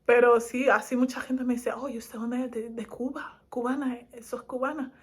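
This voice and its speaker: A young woman with a Madrid Spanish accent talks casually to her camera, animated and laughing between thoughts. Her voice sits a touch high, and her delivery stays loose and chatty. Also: normal speed, slightly rough. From Spain. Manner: animated and laughing, lively asides. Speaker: vlogger.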